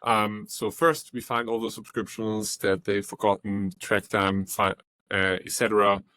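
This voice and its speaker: A man's voice: lowish voice, level tone, unhurried, sharp tone, dry recording. A man from Austria with an Austrian German accent, a startup founder answering interview questions earnestly, with many ums and uhs. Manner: earnest, with frequent um and uh.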